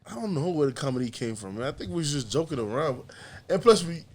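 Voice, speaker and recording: A young man talking casually as a podcast guest, in a relaxed, rambling way with frequent laughs. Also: a little high, fast talker. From USA. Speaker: podcast guest, artist and comedian. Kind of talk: casual conversation.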